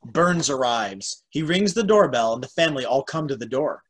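Husky, lively male narrator. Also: medium pitch, normal speed. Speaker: narrator reading stage directions. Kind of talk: scripted narration in amateur table read. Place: home room, on a video call.

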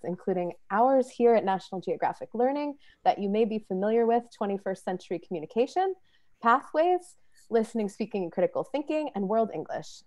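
A woman's voice: a little high, mellow. A woman hosting a webinar over a video call, welcoming attendees and covering housekeeping in a chatty way. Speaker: webinar host, marketing manager.

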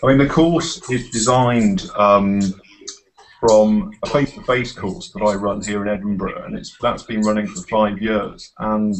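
A man's voice: lowish voice, steady tone, a little slow, a little hiss or hum, rough recording. A middle-aged man leading an informal tutorial on a video call as course tutor, hesitant and encouraging. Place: small office, indoors.

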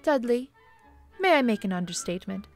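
An actress playing a kindly, refined bishop's wife, polite and hesitant, in a radio-style drama. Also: female voice, on the high side, very animated, fairly quick, a little mellow, soft background music. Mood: flustered, pleased.

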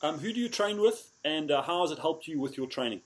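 Male interviewer, thirties, halting delivery full of "um" and "you know", laptop-mic video call sound. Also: fairly high pitch, lively intonation, poor audio. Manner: hesitant, many fillers. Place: indoor room. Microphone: laptop or phone on a video call.

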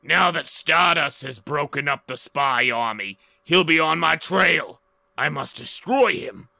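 A man's voice: on the high side, animated, unhurried, gravelly, sharp tone, dry recording, exaggerated voice. A man playing a scheming, menacing crime lord in a melodramatic comic-book reading. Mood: menacing, vengeful.

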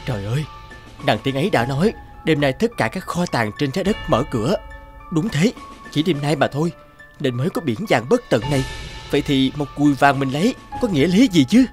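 An actor playing a greedy, excitable young peasant man in a fairy-tale cartoon, dramatic and given to exclaiming and pleading. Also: a little high, fairly quick, faint music behind. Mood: scheming, excited. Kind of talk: animated fairy-tale character acting.

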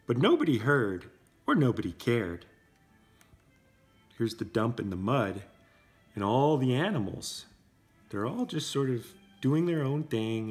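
Male, thirties, parent storybook reader: slow, animated, explains things simply. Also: medium pitch. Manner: expressive, simple explanations for young children. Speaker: parent reading to preschool class. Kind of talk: children's storybook read-aloud with casual asides. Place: indoor room with brick wall. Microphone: camera a short distance away.

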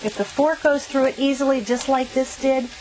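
A woman's voice: high voice, steady tone, normal speed, darkish tone, a little hiss or hum, low-quality recording. A middle-aged woman hosting a cooking show, explaining each step in an upbeat scripted way from a small RV kitchen on a lapel mic.

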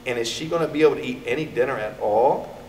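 A man's voice: medium pitch, fast talker, darkish tone. A middle-aged American man reading a picture book aloud to children, expressively and addressing them directly. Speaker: elementary school educator reading to pupils. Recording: echoey, distant mic, background noise.

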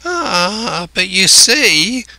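A man's voice: high-pitched, very animated, extremely slow, gravelly, bright, forceful tone, no room sound, voice pushed up, exaggerated voice. A man voicing a marooned, half-crazed old seafarer in a storybook, sly and childish, with rambling, wheedling rustic sailor speech. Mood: wheedling, bargaining.

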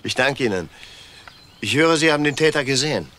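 An actor playing a middle-aged widowed husband in a crime drama, measured, halting and evasive. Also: male voice, medium pitch, animated, sharp tone, a little hiss or hum. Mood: composed, businesslike. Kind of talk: German television crime drama acting.

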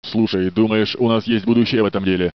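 A man's voice: on the low side, quick pace, clean tone, no room sound, lightly acted. Man doing a polite security guard, dry dub. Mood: friendly. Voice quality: steady, courteous, a little flirtatious. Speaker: security guard in a research facility. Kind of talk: Russian game dub voice lines. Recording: dry, dull studio dub.

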